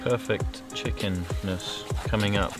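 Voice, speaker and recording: A man casually narrating a sailing vlog from a boat, calmly explaining each step as he goes. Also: lowish voice, slow, over loud music. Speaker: sailing vlogger. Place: outdoors on a boat. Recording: outdoor noise from wind and engine.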